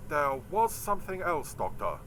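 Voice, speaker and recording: A man's voice: on the high side, sing-song, normal speed, fairly bright tone, slight background noise, pitched above the performer's own voice, rough recording. A man voicing a solemn, honour-bound space station lieutenant, formal and grave, in an audio drama. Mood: solemn, resigned.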